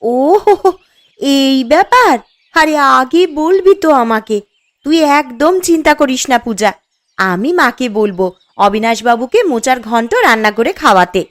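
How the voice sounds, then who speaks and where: An actress playing a caring, sensible young village wife in a cartoon story, earnest and chatty. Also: female voice, sits high, moderate pace, close to an everyday voice. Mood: reassuring. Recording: music under the voice.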